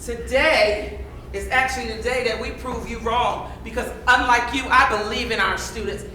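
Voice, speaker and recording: A woman's voice: on the high side, steady tone, normal speed, sharp tone. A woman playing an earnest, encouraging schoolteacher, projecting her lines in a stage play. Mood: defiant. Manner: effusive, praising. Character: earnest encouraging female schoolteacher who believes in her students. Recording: echoey stage play, distant mic, faint noise.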